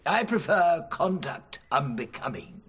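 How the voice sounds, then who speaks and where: An actor playing a fussy, pompous, easily flustered church judge with an English accent in a radio drama. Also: male voice, on the high side, very animated, measured pace, a bit bright, husky, exaggerated voice. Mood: prim. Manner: pompous, dithering.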